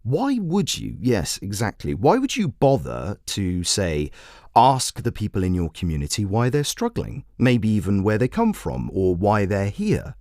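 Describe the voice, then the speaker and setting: A man voicing a brisk female radio phone-in host who questions and persuades in a measured way. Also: on the low side, wildly swooping pitch, darkish tone, no room sound, clean studio sound, full character voice. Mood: firm, challenging. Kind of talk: talk radio presenting.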